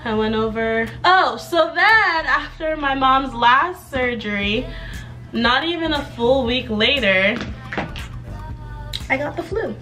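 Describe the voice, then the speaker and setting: A young woman chatting casually in a vlog update, a bit hoarse from laryngitis and rambling while she does her hair in her bedroom. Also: sits high, lively intonation, takes it slowly, bright, forceful tone, faint music behind. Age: young adult. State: slightly hoarse from recent laryngitis. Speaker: vlogger. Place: bedroom with clothes rack, indoors.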